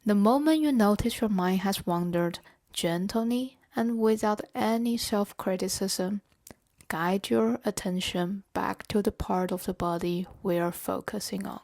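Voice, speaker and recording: Slow-paced woman guiding meditation. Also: mid-range voice. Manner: gentle, measured, instructional. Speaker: meditation guide and therapeutic coach. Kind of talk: guided body scan meditation.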